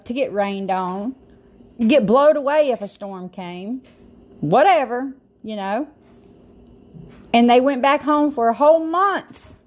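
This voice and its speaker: Slow-talking Southern US woman commentator. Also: on the high side. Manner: emphatic, incredulous. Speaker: YouTube commentator. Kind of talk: casual opinion commentary to viewers.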